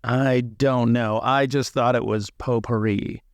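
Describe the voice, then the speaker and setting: Tabletop game merchant, played by a man: male, mid-pitched, offhand haggler, salesman patter, dry recording. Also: fairly even intonation, slow, dry recording. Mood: offhand, vague. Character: brisk, haggling merchant of burial relics, peddling curios.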